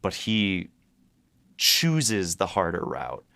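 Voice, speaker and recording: Deep-voiced man chatting, smooth and close. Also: very animated, very slow, bright, forceful tone, dry recording. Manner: hesitant, rambling, repeats words while thinking. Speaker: writer and co-host of a behind-the-scenes podcast. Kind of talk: casual chat, reflecting on writing.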